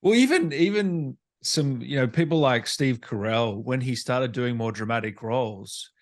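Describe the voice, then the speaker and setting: Male interview host, medium-pitched voice, relaxed conversational questioning. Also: moderate pace. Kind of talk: casual interview chat.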